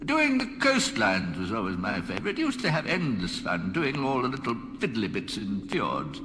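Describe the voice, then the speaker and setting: An actor playing an ancient, mild-mannered alien planet builder in a radio comedy, grandiose but lapsing into vague, chatty asides. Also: male voice, medium pitch, huge pitch swings, moderate pace. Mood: wistful, chatty. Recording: cavernous reverb, noisy background.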